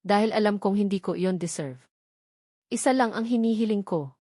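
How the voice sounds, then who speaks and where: A woman's voice: mid-range voice, moderate pace, warm tone, no echo, close to an everyday voice. Remorseful Filipino man in love read by a female narrator, earnest and pleading, storybook romance, dry close sound. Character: remorseful, tender adult man confessing his love.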